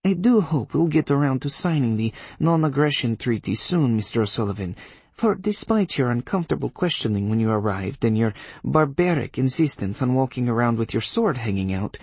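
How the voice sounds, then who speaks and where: A man's voice: medium pitch, darkish tone, husky, dry recording, pitched above the performer's own voice. A man narrating an audiobook, voicing a haughty, sarcastic witch woman with a Polish accent. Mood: diplomatic. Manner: dignified, sarcastic, coolly diplomatic.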